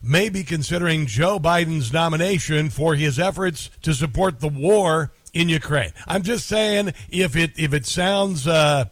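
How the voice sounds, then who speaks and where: A man's voice: on the high side, measured pace, few pauses, dry recording. A man hosting a talk radio show, rambling and sarcastic with lots of fillers. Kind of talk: casual talk radio monologue.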